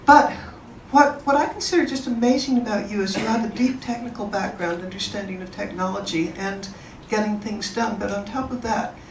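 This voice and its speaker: A woman moderating an in-person event, talking to the audience in a chatty, enthusiastic way and introducing speakers. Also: mid-range voice. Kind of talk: informal presentation to an audience. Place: event room. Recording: roomy, distant mic, background noise.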